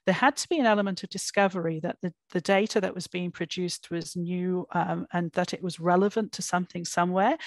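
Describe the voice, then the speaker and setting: Woman, keynote speaker, lowish voice, dry close sound, online talk, ums and restarts. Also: no echo. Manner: thoughtful, many fillers and restarts.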